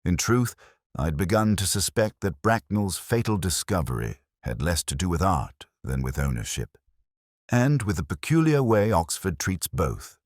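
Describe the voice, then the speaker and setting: An extremely low male voice of an actor plays a brooding, intellectual detective telling his own mystery story. The delivery is measured and literary, with pitch that moves expressively through each phrase. The sound is close and dry, with a reflective, melancholy temper suited to audio drama. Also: very animated, no echo. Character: melancholy, intellectual English police detective recounting a case. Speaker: narrator. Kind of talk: scripted first-person detective story narration.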